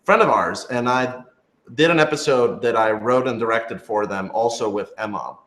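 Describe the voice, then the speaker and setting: A man's voice: mid-range voice, normal speed, fairly bright tone. A man answering fan questions as a radio drama creator in an informal panel, thinking aloud and rephrasing as he goes. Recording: roomy, echoey room sound.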